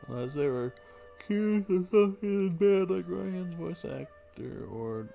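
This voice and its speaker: A man's voice: high-pitched, animated, unhurried, creaky, warm tone. A man giving casual commentary while livestreaming a game. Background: game music.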